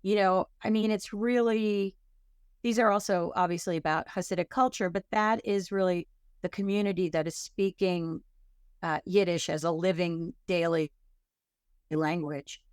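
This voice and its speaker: A woman's voice: on the low side, fairly even intonation, moderate pace, darkish tone, smooth, dry recording, studio quality. A woman guest in an interview, answering in a chatty way with many fillers and restarts. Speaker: author and klezmer singer, guest.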